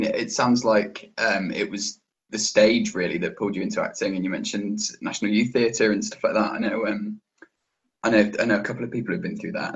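A man interviewing an actor, asking questions hesitantly with many ums and restarts. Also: lowish voice. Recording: dull lo-fi line.

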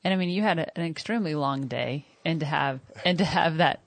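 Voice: medium pitch, fairly quick, dry recording. A co-host chatting casually in an upbeat, teasing way. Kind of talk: casual chat.

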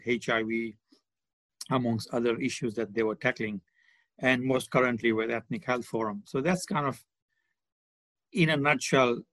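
A man's voice: mid-range voice, fairly bright tone, no room sound, low-quality recording. A man in his sixties from India and Malawi, talking discursively as a guest in a conversational interview. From born in India, grew up in Malawi. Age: 60s. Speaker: podcast guest, charity development manager. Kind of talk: conversational interview, reflective account. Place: remote online call.

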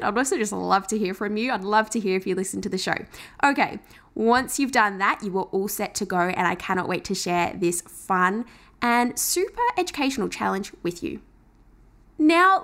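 A woman's voice: on the high side, a bit bright. A woman hosting a podcast, talking in an enthusiastic, chatty way as she promotes her offer and welcomes a guest. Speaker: podcast host. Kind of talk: upbeat podcast intro and interview.

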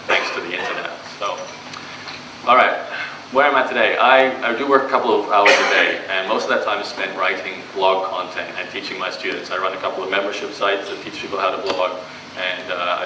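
A young man with a Canadian accent, giving a casual, conversational talk to a live audience at a business event, with many fillers and restarts. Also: sits low. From Australia. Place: event room before an audience. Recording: distant, echoey live room recording with background noise.

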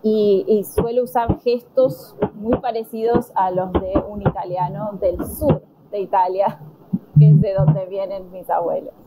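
An Argentine woman answering conversation questions slowly and deliberately, pausing with fillers. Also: mid-range voice, fairly even intonation, very noisy. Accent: Argentine Spanish. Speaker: interviewee. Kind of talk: slow, careful conversation answers.

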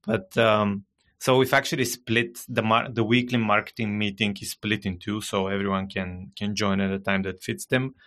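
A man's voice: fairly low pitch, flat delivery, fairly bright tone. A man from Bulgaria with a Bulgarian accent, a guest speaking carefully in a casual podcast conversation over a remote call. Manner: measured, hesitant with frequent uh fillers. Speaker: guest, marketing director.

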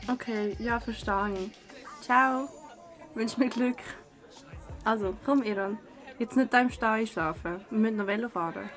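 A woman's voice: fairly high pitch, unhurried, soft background music, lightly acted. An actress with a Swiss German accent playing a caring young woman in a radio drama. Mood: coaxing. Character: caring young woman looking after her drunk best friend.

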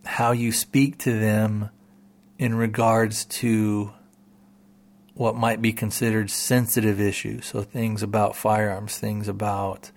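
A man's voice: lowish voice, flat delivery, slow. A man hosting a podcast, talking casually to listeners in a rambling way, full of repeats and "you know"s. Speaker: podcast host.

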